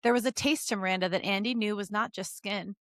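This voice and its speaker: A playful woman co-hosting a podcast, moving between expressive story reading and casual banter. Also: mid-range voice, fast talker, no echo, studio quality. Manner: playful, giggly. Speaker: podcast co-host reading fan fiction aloud. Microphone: close podcast microphone.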